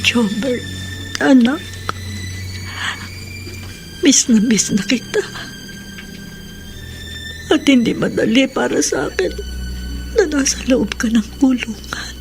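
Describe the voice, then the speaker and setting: A woman's voice: high voice, expressive, slow pace, gravelly, pauses often, music in the background, heavily acted. A stern, grieving Filipino mother. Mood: sorrowful, tender. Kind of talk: Filipino radio drama acting.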